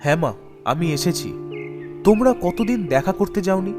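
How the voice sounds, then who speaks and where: A man voicing an earnest young student son in an animated ghost story, with an emotive, pleading delivery. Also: sits high, normal speed, music in the background. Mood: affectionate, eager.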